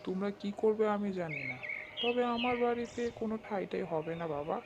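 A man's voice: high voice, a little fast, highs cut off, background noise. A man playing a callous, stingy village landlord in a cartoon, scolding and dismissive. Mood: scornful. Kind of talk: Bengali cartoon character voice acting.

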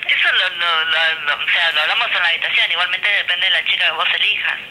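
A woman's voice: on the low side, fast, very noisy. A woman with an Argentine accent talking matter-of-factly over a phone played through a speaker. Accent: Argentine Spanish. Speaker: escort service worker answering phone. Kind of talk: matter-of-fact phone conversation.

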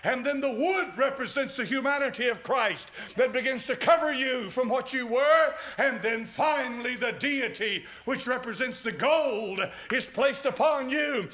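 An older American man preaching a sermon from a church pulpit, fervent and rising to shouts. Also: very high-pitched, measured pace, hardly any pauses. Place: church sanctuary. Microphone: pulpit mic.

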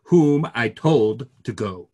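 Slow, husky, grave clergyman acted by a man. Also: mid-range voice, expressive, dark tone. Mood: distressed. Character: grave, troubled clergyman in a period play. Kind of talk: online play reading.

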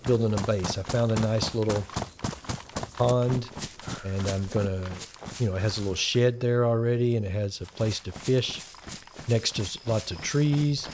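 A man with a Texan accent giving relaxed, rambling commentary and thinking aloud while he plays a survival game. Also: fairly low pitch, background noise. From Texas. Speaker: gaming channel host. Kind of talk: casual gameplay commentary.

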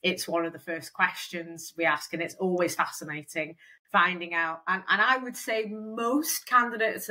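A woman talks in a relaxed, chatty way, filling her sentences with "kind of" and "um". Her voice has a bright, forward tone. She sits at a middle pitch for a woman. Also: rough recording. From Wales. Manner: chatty, lots of fillers like "kind of" and "um". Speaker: recruitment company founder, guest. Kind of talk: conversational interview.

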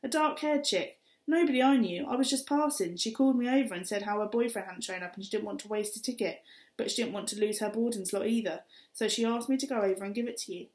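A woman's voice: mid-range voice, fast, a little mellow, close to an everyday voice. Woman reading as a shifty wary stranger man. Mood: evasive. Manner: evasive, defensive, streetwise slang. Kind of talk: audiobook character reading. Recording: roomy echo.

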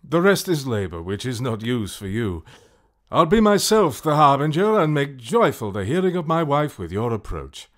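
An actor playing an ambitious warrior thane in a Shakespearean radio drama, speaking in a formal, declamatory way. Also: male voice, mid-range voice, sing-song, moderate pace, fairly bright tone. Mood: loyal, fervent. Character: ambitious Scottish warrior thane, outwardly loyal, secretly scheming.

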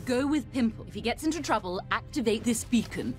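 A woman's voice: fairly high pitch, expressive, brisk, over loud music, lightly acted. A woman playing an imperious, sardonic cartoon villain queen with a dry, menacing, commanding manner. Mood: wry, commanding.

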